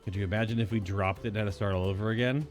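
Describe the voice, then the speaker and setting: A man reading a spirited young catlike princess from a game, raising his voice higher and sounding eager. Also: fairly even intonation, rapid-fire, soft background music, natural voice.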